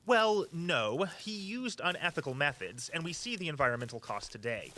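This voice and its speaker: An actor playing an eager, earnest walking-tour guide who tells local history with a storyteller's flair. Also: male voice, a little high, sing-song, moderate pace, bright, forceful tone, rough recording. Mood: earnest, reflective. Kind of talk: audio drama dialogue, storytelling tour patter.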